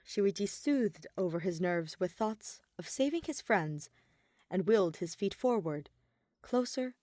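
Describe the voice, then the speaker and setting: A woman reading fan fiction as a scripted dramatic narration, with deliberate pauses and emphasis. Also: medium pitch, a little mellow, dry recording, clean studio sound.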